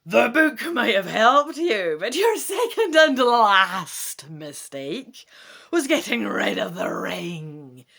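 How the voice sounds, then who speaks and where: A woman's voice: medium pitch, sing-song, creaky, sharp tone, heavily acted. Woman putting on a roaring, gloating evil dragon. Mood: menacing. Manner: roaring, drawn-out, gloating delivery. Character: huge evil red dragon, scornful and gloating.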